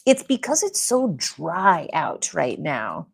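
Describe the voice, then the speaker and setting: A woman's voice: lowish voice, big pitch swings, slow, gravelly, breathy edge. Woman, thirties, beauty livestream host, casual rambling chat with viewers, conversational and unscripted. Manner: chatty and rambling, with frequent "like" fillers. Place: at home doing makeup in front of a mirror.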